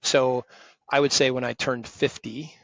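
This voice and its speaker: A man's voice: medium pitch, little pitch movement, measured pace, no echo, dull audio. A man in his fifties, a retired firm partner, talking directly and emphatically as a guest in an interview. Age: 50s. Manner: emphatic, direct, self-described loud voice. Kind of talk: conversational interview.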